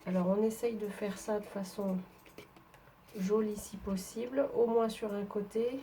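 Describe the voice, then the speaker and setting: A woman's voice: mid-range voice, fairly even intonation, a little slow, dark tone. A woman from northern France talks through a craft tutorial while she works at her table. She has a head cold, so her voice sounds stuffy, and her easygoing, chatty delivery is full of small hesitations. A faint background noise runs under the recording. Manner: chatty, explains while working, frequent fillers. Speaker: papercraft demonstrator.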